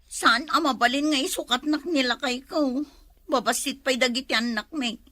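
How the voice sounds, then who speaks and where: Jealous Ilocano wife actress, highish bright voice, emphatic complainer, comedy drama. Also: female voice, moderate pace, no echo. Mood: complaining. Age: middle-aged. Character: jealous, fretful wife suspicious of her husband.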